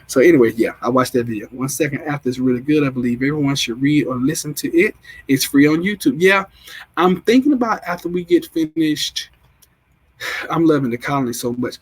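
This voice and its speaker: Husky-voiced man chatting on a livestream. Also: a little high, lively intonation, fairly quick, rough recording. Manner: rambling, repeats himself, restarts often. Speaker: livestream host. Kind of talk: casual livestream chat, reading viewer comments.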